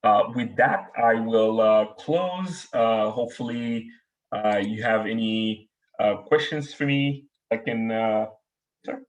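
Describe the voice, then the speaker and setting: Halting man with a Haitian accent. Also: on the low side. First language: French and Haitian Creole. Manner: halting, many "uh" fillers. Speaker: webinar speaker. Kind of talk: technical presentation and Q&A.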